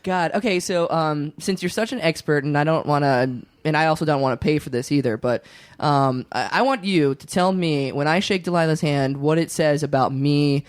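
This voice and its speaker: Giggly higher-voiced male podcast host. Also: normal speed, hardly any pauses, no room sound, clean studio sound. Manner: giggly, joking, laughs often. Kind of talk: casual comedy chat.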